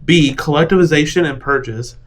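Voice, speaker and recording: A man's voice: fairly high pitch, moderate pace. A man playing a board game, chatting casually and reading out quiz questions.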